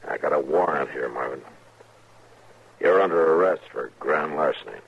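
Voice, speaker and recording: An actor playing a stoic, watchful, world-weary frontier marshal with an American accent and a terse, grave manner, in a 1950s radio drama. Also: male voice, a bass voice, normal speed, vocal fry. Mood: grave, probing. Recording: noisy, dull old radio recording.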